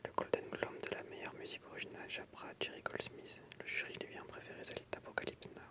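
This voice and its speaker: A person whispering in an ASMR style, reading out short pieces in a soft, explanatory way with casual asides. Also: quick pace. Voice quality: unvoiced, breathy whisper. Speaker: ASMR creator reading film trivia with commentary.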